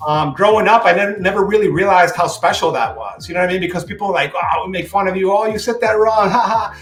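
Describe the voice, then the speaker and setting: A man's voice: sits high, fast talker. A chatty man in his forties, originally from Puerto Rico with Spanish as his first language, speaking in a slightly raised voice. He answers interview questions in a loose, casual way, full of "you know" asides. The sound comes over a video call from a room at home with a roomy echo. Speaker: podcast guest.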